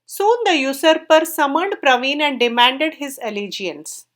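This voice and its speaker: A woman's voice: high-pitched, big pitch swings, measured pace, a bit bright. A woman reading a scripted story aloud as a storyteller.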